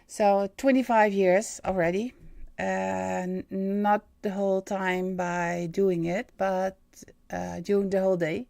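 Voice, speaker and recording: Halting Dutch woman in her fifties, gravelly. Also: mid-range voice, level tone, darkish tone, dry recording. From Netherlands. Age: 50s. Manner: halting, many ums and uhs, searching for English words. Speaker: livestream host and medium. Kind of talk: hesitant livestream monologue.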